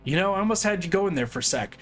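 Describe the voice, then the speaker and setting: A man's voice: high-pitched, animated, racing, fairly bright tone, faint music behind, pitched above the performer's own voice. A man voicing a smug, manipulative male villain who taunts with theatrical mockery. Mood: taunting. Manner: mocking and theatrical.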